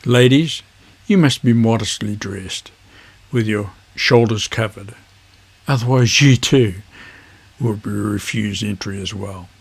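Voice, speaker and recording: A man with a husky voice, presenting an instructional vlog in measured phrases. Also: on the low side. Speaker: amateur radio video host.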